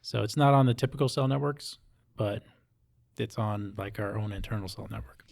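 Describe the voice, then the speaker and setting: A man's voice: lowish voice, moderate pace, creaky, a little mellow. A middle-aged man talking casually as a podcast host, informal and plain-spoken. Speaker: podcast host, police officer.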